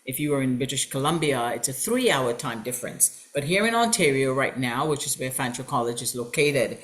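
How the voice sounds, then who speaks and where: A woman's voice: extremely low pitch, lively intonation, fairly quick. An upbeat, welcoming woman hosts an online information session for a college. She speaks over a video call mic and fills her sentences with ums and uhs. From Trinidad and Tobago. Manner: upbeat and welcoming, with frequent fillers. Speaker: college recruitment representative and host.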